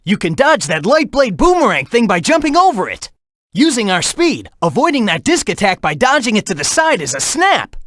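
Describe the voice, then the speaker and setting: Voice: medium pitch, sing-song, moderate pace, fairly bright tone, dry recording, studio quality. An actor voicing a cocky, upbeat young male hedgehog hero in a video game, brash and playful, calling out with urgency. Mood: determined. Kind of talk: animated video game voice acting.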